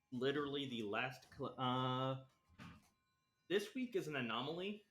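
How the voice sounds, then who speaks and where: A man's voice: medium pitch, animated, takes it slowly, fairly bright tone, echoey room. A man casually chatting to his viewers as he livestreams a video game, with an informal, playful manner. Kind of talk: casual livestream chat while gaming.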